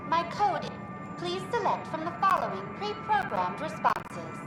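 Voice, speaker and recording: Game AI greeter: high-pitched, cheery, courteous, glitchy, distant and echoing. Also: female voice, sing-song, measured pace, loud music behind the voice, heavily acted. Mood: cheerful, automated. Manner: polished, overly courteous, automated. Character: cheerful glitching female virtual intelligence guide. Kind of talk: scripted welcome announcements.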